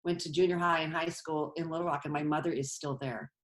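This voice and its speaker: A woman's voice: low-pitched, steady tone, fast talker. A middle-aged woman from Colorado, a stage actress chatting as a guest over a video call from her bedroom, rambling with restarts as she tells stories. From Colorado, USA. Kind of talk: casual interview chat. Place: bedroom at home.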